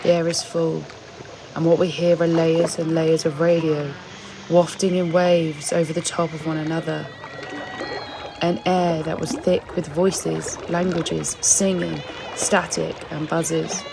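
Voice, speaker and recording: A woman reading reflective scripted narration in a measured, deliberate way. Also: low-pitched, level tone, vocal fry, background noise. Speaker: project member and narrator. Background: music under the voice.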